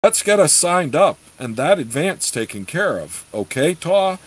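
A man's voice: a little high, sing-song, a little slow, voice pushed up, poor audio. A man voicing a persuasive, chummy rogue who leads a band, in a storybook reading. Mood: reassuring. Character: smooth-talking, persuasive male rogue leader of beggar band.

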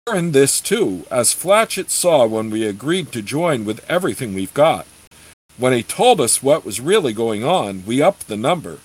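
Persuasive male rogue for a fantasy storybook man narrator acting, chummy, coaxing, very lively pitch movement. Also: normal speed, husky, low-quality recording. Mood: eager, persuasive. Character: smooth-talking, persuasive male rogue leader of beggar band. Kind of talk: storybook character reading.

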